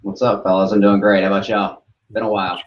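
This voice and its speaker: A man's voice: fairly low pitch, little pitch movement, fast talker. A man with a light Southern accent, a guest on a podcast, speaking in an upbeat, friendly way and explaining in long flowing lists. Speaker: guest, brokerage executive. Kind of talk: casual podcast interview. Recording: echoey, dull remote audio.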